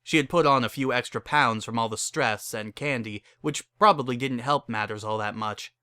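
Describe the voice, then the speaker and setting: Bright-voiced man narrating a story. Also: medium pitch, no echo, studio quality. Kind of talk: scripted narration of a fan fiction story.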